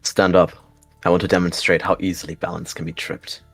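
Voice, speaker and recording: Guy doing a stern warrior cat mentor for a roleplay game, low raspy voice, bossy, instructing. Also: level tone, a little fast, fairly bright tone, dry recording, faint music behind, heavily acted. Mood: commanding. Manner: commanding, instructing.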